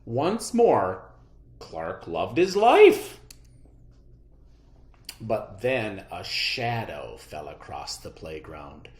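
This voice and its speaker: A read-aloud storyteller narrating a children's book with dramatic, expressive emphasis. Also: low voice, extremely slow, pauses often, roomy sound.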